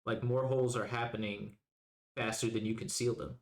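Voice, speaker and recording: A man's voice: medium pitch, level tone, normal speed. A man co-hosting a podcast, chatting in a laid-back, reflective, conversational way. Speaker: podcast co-host. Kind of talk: casual podcast discussion.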